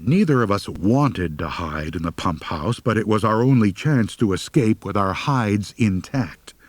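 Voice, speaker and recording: A man's voice: lowish voice, lively intonation, measured pace, no echo. A man narrating a humorous first-person story as an audiobook reader, with a wry, mock-serious delivery.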